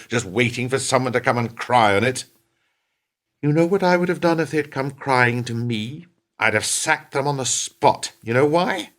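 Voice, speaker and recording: An actor playing a hard, proud, bitter middle-aged company boss in a radio play, with a scornful, sarcastic, emphatic delivery. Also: male voice, medium pitch, fairly bright tone, close to an everyday voice.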